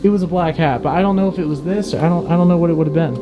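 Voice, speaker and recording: A young man talking in an excitable, rambling way, with a slightly raised pitch. He swears and repeats himself as he chats. Clear music plays behind his voice. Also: rapid-fire, highs cut off. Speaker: paranormal channel host. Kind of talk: casual excited chat.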